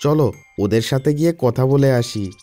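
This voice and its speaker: A man voicing an excitable villager in a children's cartoon, speaking in exclamations and repeating words. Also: medium pitch, normal speed, faint music behind, natural voice. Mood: eager. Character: excitable village brother and alarmed farmer father. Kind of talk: Bengali children's cartoon dubbing.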